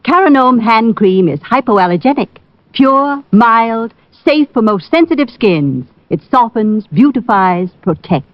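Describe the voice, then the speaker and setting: A woman reading a scripted hand cream commercial on a 1950s radio broadcast, smooth and measured. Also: on the high side, lively intonation, no room sound. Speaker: commercial announcer. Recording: dry dull 1950s radio recording.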